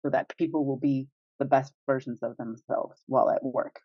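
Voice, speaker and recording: Mid-pitched podcast host chatting casually, rambles through questions, dry and a bit dull sounding. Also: fairly even intonation, normal speed, dry recording. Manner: thinks aloud in rambling questions, chuckling lightly.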